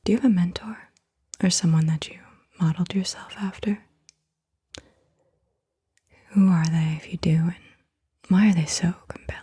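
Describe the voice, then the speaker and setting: A woman speaks in a very breathy ASMR whisper, with hardly any voiced tone. Her voice is soft and mellow, a little low. She guides the listener in short, deliberate phrases with pauses between them. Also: steady tone. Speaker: ASMR host.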